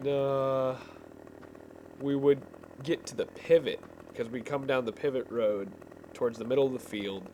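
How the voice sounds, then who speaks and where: A man rambles through a casual story as he plays a game, in an unhurried, mid-pitched voice. He stops and starts and loses his thread easily. A vehicle engine can be heard running behind him. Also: darkish tone. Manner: halting, easily distracted. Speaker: gamer telling stories over gameplay. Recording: clear background vehicle engine noise. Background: game vehicle engine sounds.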